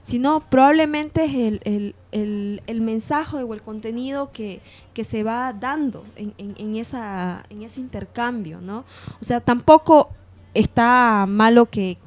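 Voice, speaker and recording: Casting: Bolivian woman, slightly high voice, informal radio panelist who thinks aloud with hesitant repetitions. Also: a little mellow. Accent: Bolivian Spanish. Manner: hesitant, repeats words while working out ideas. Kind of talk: informal on-air discussion.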